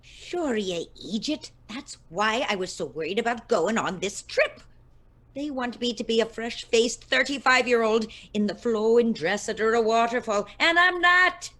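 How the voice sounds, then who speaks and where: A woman's voice: on the high side, expressive, moderate pace, bright, forceful tone. A woman playing a vain, touchy older music legend, haughty and theatrical, in a comedic audio drama. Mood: defensive.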